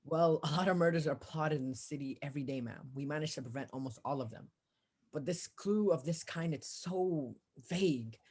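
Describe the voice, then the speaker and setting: A husky, very low-voiced police officer, acted by a woman, in a 1940s-style radio thriller. The officer is weary and offhand, placating and patronizing while brushing off a caller. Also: normal speed, a little mellow. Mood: dismissive. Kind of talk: 1940s radio drama acting.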